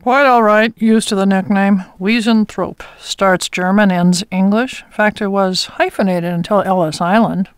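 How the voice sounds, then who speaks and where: An actress playing a pedantic, prim, know-it-all police detective in a comic radio mystery, with formal, precise diction. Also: female voice, medium pitch, steady tone, normal speed, few pauses, smooth, dry recording, clean studio sound, close to an everyday voice.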